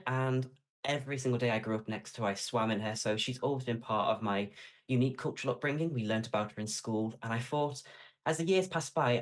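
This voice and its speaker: A man, talking at a brisk clip in a casual podcast interview. He is chatty, laughs often and explains folklore at length. His voice sits at an ordinary middle pitch. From Welsh Marches, Shropshire and Wales. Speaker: guest author.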